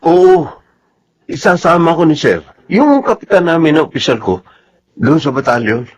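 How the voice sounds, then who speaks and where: An actor playing an eager, devoted young soldier husband in a Filipino radio drama. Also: male voice, a little high, big pitch swings, moderate pace, darkish tone, muffled recording. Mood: excited. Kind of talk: Filipino radio soap opera acting.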